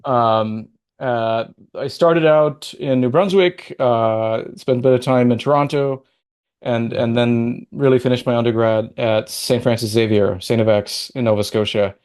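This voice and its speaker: A man's voice: mid-range voice, steady tone, unhurried, darkish tone, clean tone. A man raised in the Middle East, speaking as a guest in a video-call interview, thoughtful and prone to restarting sentences. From Middle East (born in Qatar, raised in Oman). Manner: thoughtful, restarts and rambles while recalling. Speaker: guest, sociology professor.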